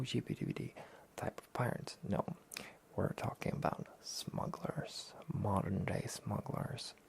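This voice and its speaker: Soft-spoken, gravelly man talking slowly. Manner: hesitant, rambling, low-key. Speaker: host of a weekly anime talk show. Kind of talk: soft-spoken relaxation talk, casual review.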